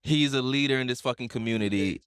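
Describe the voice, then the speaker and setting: A man's voice: mid-range voice, fast, a bit bright, clean tone, no room sound, studio quality. A man hosting a casual podcast, talking in emphatic slang with frequent swearing. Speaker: podcast host. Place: indoor lounge smoke shop.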